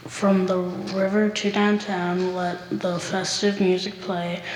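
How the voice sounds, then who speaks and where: Flat, steady poem reader. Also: female voice, medium pitch, takes it slowly, echoey room, in a large hall, background noise. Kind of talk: reading a rhyming poem.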